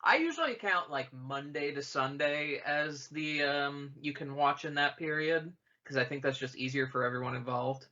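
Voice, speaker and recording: Bright-voiced host steering podcast chat. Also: male voice, on the high side, normal speed, few pauses, muffled recording. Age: 30s. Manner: laughing, moderating, steering the talk. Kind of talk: casual chat.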